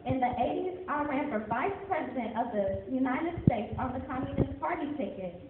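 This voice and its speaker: A woman playing a proud activist and professor in a school play, reciting slowly and with emphasis. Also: high voice, expressive. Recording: echoey hall, phone-quality audio, noisy.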